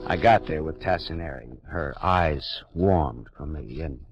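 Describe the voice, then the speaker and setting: A man's voice: a bass voice, unhurried, vocal fry, heavily acted. An actor playing a wry, tense male private detective who narrates in clipped, hard-boiled 1940s radio style. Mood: tense narration. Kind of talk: 1940s radio drama acting. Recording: dull lo-fi 1940s radio broadcast. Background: dramatic music score.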